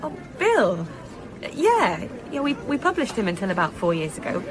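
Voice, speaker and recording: A woman's voice: mid-range voice, sing-song, normal speed, close to an everyday voice. A woman playing a chatty, indiscreet book publisher in a naturalistic radio drama. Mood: candid. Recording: busy crowd noise behind. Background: chatter of a festival crowd.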